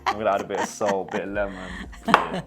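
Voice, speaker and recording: A young man with a husky voice, chatting and joking with his mother while they cook together for his vlog. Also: deep voice, animated, brisk, a loud crowd. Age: young adult. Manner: jokey and teasing, laughs often. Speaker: vlogger cooking with his mum. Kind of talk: casual chat while cooking.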